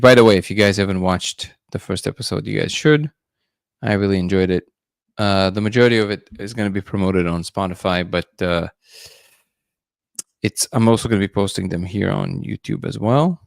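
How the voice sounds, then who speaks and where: A man's voice: fairly low pitch, vocal fry, dry recording, studio quality. A man hosting a casual livestream, musing loosely and greeting viewers by name. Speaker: livestream host.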